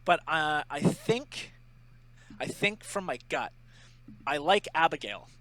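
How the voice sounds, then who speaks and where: A man's voice: a little high, expressive, takes it slowly, a bit bright, low-quality recording. A man co-hosting a podcast, chatting casually in a joking, sarcastic way. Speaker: podcast co-host. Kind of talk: casual chat.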